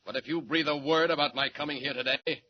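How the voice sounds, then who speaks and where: A man's voice: fairly high pitch, fairly even intonation, fast talker, natural voice. A man playing a confident, menacing costumed hero in a 1940s radio serial, on a muffled, hissy recording. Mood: stern, threatening.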